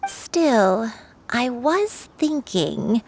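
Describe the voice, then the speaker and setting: A woman voicing a gentle, forgetful old granny in a video game, halting and tender, with a creaky voice. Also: a little high, sing-song, exaggerated voice. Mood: puzzled.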